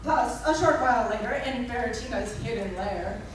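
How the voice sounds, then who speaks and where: A woman's voice: fairly high pitch, lively intonation, moderate pace, lightly acted. A woman playing a serial radio announcer, reading scripted narration that recaps an adventure in a comic radio play. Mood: announcing. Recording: echoey, distant, noisy live stage recording.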